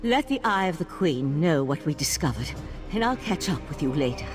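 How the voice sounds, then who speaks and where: Low-voiced earnest elf mage for a studio game, long reverb, faint music. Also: female voice, sing-song, moderate pace. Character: earnest female elf mage of an ancient monastic order.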